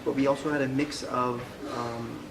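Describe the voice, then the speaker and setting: Young man, interview guest, flat even delivery, echoey room, noticeable background hiss. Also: medium pitch, vocal fry. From Pakistan, raised in the United States. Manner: measured, even, with frequent fillers and restarts. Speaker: interview guest, Quran instructor. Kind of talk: conversational interview.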